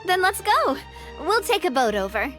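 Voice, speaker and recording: A spirited young woman leader from a video game, speaking in a high, animated voice. She sounds earnest, breezy and friendly, with music playing behind her. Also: normal speed, heavily acted. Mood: upbeat.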